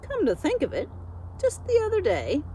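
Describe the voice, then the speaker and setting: A woman narrating a children's storybook expressively, like a school librarian, with deliberate dramatic emphasis. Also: very high-pitched, huge pitch swings, dark tone, slight background noise. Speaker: school media specialist reading a storybook.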